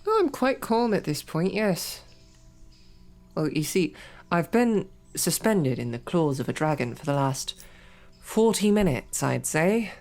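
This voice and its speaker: A woman's voice: sits low, animated, a little slow, a little mellow, soft background music. A woman voicing a captive young woman knight who talks in a dry, formal, wryly understated way, in an audio roleplay drama. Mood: dry, sardonic. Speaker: voice actor.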